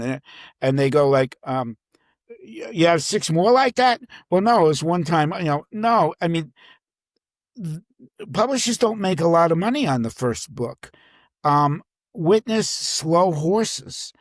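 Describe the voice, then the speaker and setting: A man talking casually as an author being interviewed, working through his thoughts aloud in halting pieces. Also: a little high, dry recording, clean studio sound. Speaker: crime thriller novelist, interview guest.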